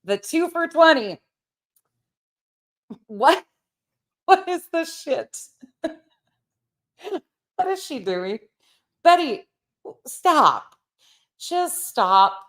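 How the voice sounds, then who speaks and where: A woman hosting reaction commentary, sarcastic and profane, with theatrical, mocking delivery. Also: high-pitched. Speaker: commentary channel host.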